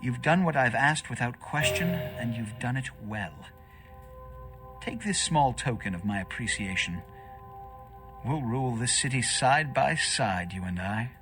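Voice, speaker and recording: An actor playing a haughty, scheming vampire prince in a video game, with pompous, formal, imperious speech. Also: male voice, medium pitch, lively intonation, measured pace, a bit bright, faint music behind. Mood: smug, gracious. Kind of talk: theatrical video game voice acting.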